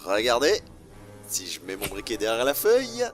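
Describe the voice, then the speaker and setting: A man's voice: medium pitch, wildly swooping pitch, moderate pace, soft background music. A man playing a mad, cruel villain in an amateur audio drama, mocking and singsong in a theatrical way. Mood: gloating. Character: mad, taunting male villain, gleefully cruel traitor.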